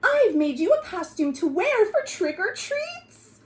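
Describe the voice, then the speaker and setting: A woman's voice: very high voice, very animated, measured pace, darkish tone, voice pushed up, exaggerated voice. A woman voicing a doting, cheerful mother dog in a children's storybook, calling out affectionately in a sing-song way. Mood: cheerful, fond. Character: doting, cheerful mother dog fussing over her son.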